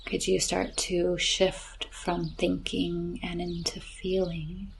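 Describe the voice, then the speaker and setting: A woman guiding a relaxation meditation in a gentle, soothing, often breathy voice with long unhurried phrases. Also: fairly low pitch, completely flat delivery, slight background noise. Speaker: yoga nidra meditation guide. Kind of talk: slow guided relaxation meditation. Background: chirping birds, nature ambience.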